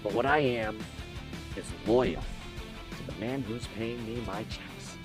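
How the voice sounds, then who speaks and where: A man's voice: on the low side, a little slow, over loud music, natural voice. A man playing a smug, menacing gang boss in an audio drama, taunting and condescending as he draws out his words. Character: smug, menacing male boss of a hit squad.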